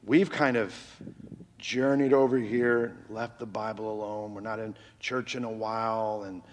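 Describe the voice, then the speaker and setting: A man preaching a sermon as pastor in a church, with a very loud voice that swings between loud cries and hushed, near-whispered lines, on a microphone. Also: fairly low pitch, fairly even intonation, unhurried, in a large hall.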